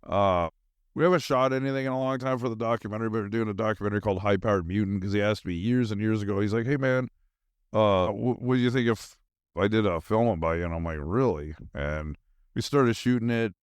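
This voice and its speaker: A man's voice: fairly low pitch, quick pace, smooth, no room sound, studio quality. A middle-aged man with an American accent, hosting a podcast in a casual, rambling, slangy monologue. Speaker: podcast host.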